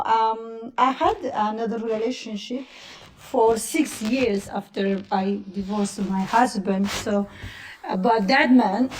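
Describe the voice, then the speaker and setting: A woman in her thirties with an Italian accent, calling in to a live chat show and talking in halting English with many fillers. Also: a little high, darkish tone, a little hiss or hum, poor audio. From Italy. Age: 30s. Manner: halting, many fillers and restarts, laughs often. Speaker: caller. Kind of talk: casual live call-in chat.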